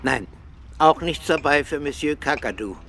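Polite, unhurried old postman. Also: a little high, gravelly, fairly bright tone. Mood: polite, guarded. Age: older. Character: old village postman, polite, laconic and innocent-seeming. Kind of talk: radio drama acting.

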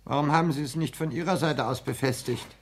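Ein Mann spielt in einem Hörspiel der sechziger Jahre einen kränklichen, empfindlichen, pessimistischen Friseurgehilfen, der gekränkt und abwehrend spricht. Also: male voice, fairly high pitch, fast talker, a little hiss or hum, lightly acted. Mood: sullen. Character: sickly, touchy, pessimistic barber's assistant. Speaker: radio play actor. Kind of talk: 1960s German radio play acting.

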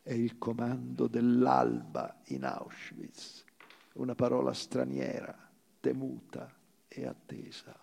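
Slow, gravelly man reciting in a reverberant hall. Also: medium pitch, animated, dark tone. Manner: solemn, measured, with deliberate pauses. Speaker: stage actor reciting a literary memoir. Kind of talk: dramatic literary recitation on stage. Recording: live theatre recital with long hall reverb.

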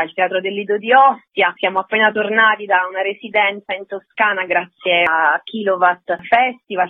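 Husky woman on a tinny phone line. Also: medium pitch, no echo. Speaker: theatre artist being interviewed. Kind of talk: conversational radio interview.

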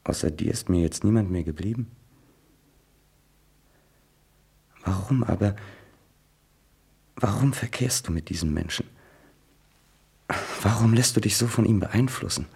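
A man playing an anguished young writer in a stage drama, intense, moving between hushed pleading and outbursts. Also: fairly low pitch, normal speed, vocal fry, pauses often, slightly rough, full character voice. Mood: tender, reproachful.

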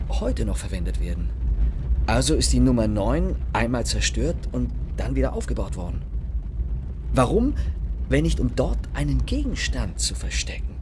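A man plays a young gentleman adventurer in a radio drama. His voice sits at a medium pitch, and he speaks with assurance and romantic boldness, like someone with a clever plan. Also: sing-song, moderate pace, noisy background, lightly acted. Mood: confident, explaining.

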